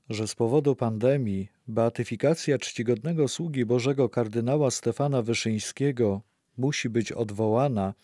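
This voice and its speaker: A man's voice: medium pitch, warm tone, no echo, clean studio sound. A man playing a reflective narrator of faith in a scripted radio play.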